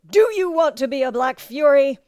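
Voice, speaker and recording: A woman's voice: a little high, slow pace, a little mellow, clean tone, dry recording, clean studio sound, pitched above the performer's own voice. A woman voicing a scornful, commanding male drill instructor in an audiobook, barking and taunting. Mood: bellowing contempt. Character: bellowing small thirty-year-old male drill instructor, scornful and commanding. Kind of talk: audiobook character acting.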